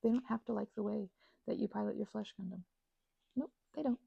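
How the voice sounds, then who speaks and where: A woman in her thirties giving a casual, playful ASMR ramble with emphatic, slangy phrasing and a husky, breathy voice with vocal fry. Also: medium pitch, fairly quick, no room sound, highs cut off. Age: 30s. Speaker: ASMR channel host.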